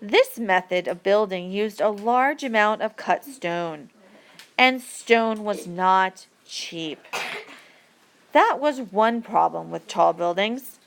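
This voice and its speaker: A woman reading an educational passage aloud as a teacher, with deliberate, measured phrasing for learners. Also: medium pitch, expressive, vocal fry, a little hiss or hum. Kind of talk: read-aloud educational narration.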